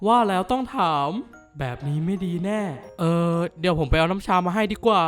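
A man voicing a bookish, easily flustered teenage schoolboy in an animated dub, grumbling to himself. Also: high voice, fairly quick, soft background music. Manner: flustered, grumbling inner monologue. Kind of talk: anime dub acting.

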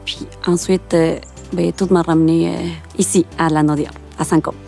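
Peruvian-born woman in her 30s, low gravelly voice, chatty with hesitations, faint music. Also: level tone, moderate pace, dark tone. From Peru. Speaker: interviewee. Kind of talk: casual interview. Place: outdoors in a garden.

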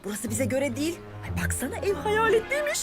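A woman's voice: very high-pitched, huge pitch swings, fairly quick, over loud music, heavily acted. A woman voicing a cheerful, practical mother in a dubbed cartoon. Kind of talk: cartoon dubbing.

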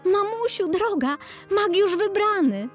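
A woman's voice: very high voice, animated, a little slow, faint music behind, voice pushed up. A woman voicing a meek, hopeful young girl in a fairy tale, earnest and girlish. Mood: excited.

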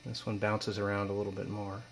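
Young male student presenter, even monotone, informal and explanatory, faint mains hum behind. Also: lowish voice, fairly quick, creaky. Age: young adult. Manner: matter-of-fact, explanatory. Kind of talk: informal demonstration narration. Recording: steady mains hum, background noise.

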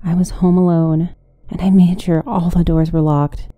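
A woman tells a scripted horror story in the first person. Her voice is very dark and mellow and sits a little low, recorded dry with no room sound. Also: little pitch movement, moderate pace, dry recording. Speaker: guest story narrator.

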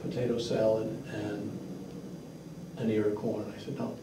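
A man's voice: on the low side, level tone, measured pace, noisy background. A man speaking in a blunt, matter-of-fact way at a public meeting, picked up by a distant mic in an echoey room. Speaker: fundraiser organizer speaking at a town meeting. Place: mid-sized indoor meeting room. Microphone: far room microphone.

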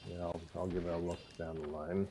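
A mid-twenties man with a lowish voice that sounds older than he is speaks in a dry, measured way. Faint background noise is heard under his voice chat. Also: level tone. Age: 20s. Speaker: co-commentator. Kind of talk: casual chat on a voice call.

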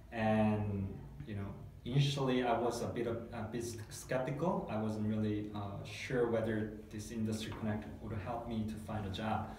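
A Korean man in his thirties, a guest in a testimonial interview, speaking English as a second language and hesitating often, with many fillers and restarts. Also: lowish voice. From South Korea. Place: small dark meeting room, seated at a table. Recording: echoey room, mic far off, faint hiss.

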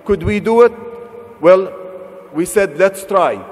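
A man's voice: high-pitched, slow pace. Earnest middle-aged man at a podium. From Palestine. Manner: earnest, rhetorical questions, rising emphasis. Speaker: convention speaker. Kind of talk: speech from a podium. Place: large convention hall stage. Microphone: podium microphones. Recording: reverberant large hall.

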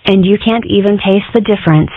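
A woman's voice: mid-range voice, fairly even intonation, fairly quick, no room sound, close to an everyday voice. A woman voicing a cheeky, contrary young girl fox in an amateur comic dub, delivering dry jokes matter-of-factly. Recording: muffled, phone-like narrow sound.

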